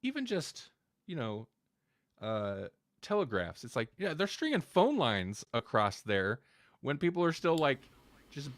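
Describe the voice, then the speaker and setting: A man's voice: medium pitch, very animated, unhurried, no echo. A man hosting a podcast in casual chat, talking in a rambling way with self-interrupting asides. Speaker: podcast host.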